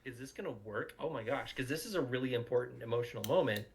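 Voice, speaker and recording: Halting man giving casual commentary. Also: mid-range voice, a little hiss or hum. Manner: halting, repeats words as he thinks aloud. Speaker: audio drama creator giving commentary. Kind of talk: casual behind-the-scenes commentary.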